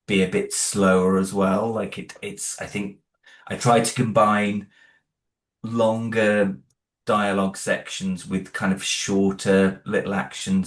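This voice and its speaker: A man being interviewed about the audio drama he created, hesitant, with many fillers and restarts. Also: on the low side. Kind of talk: interview guest.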